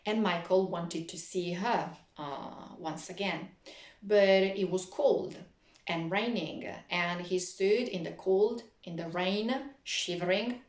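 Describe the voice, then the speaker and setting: A woman teaching literature in an explanatory lecture, speaking didactically with frequent hesitant pauses. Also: lowish voice, echoey room.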